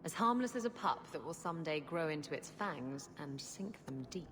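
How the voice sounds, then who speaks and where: A woman's voice: sits low, expressive, normal speed, fairly bright tone. An actress playing a flirtatious, boastful female pirate captain in a video game. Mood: teasing. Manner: flirtatious, playful, teasing. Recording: background music.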